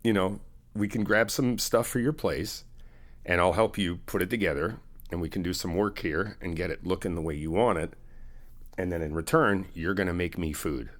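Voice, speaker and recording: A man's voice: low-pitched, normal speed, close to an everyday voice. A man playing an easygoing, helpful friend in an ASMR roleplay, talking in a casual, reassuring, conversational way. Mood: teasing, friendly. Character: easygoing, helpful male friend helping someone move in.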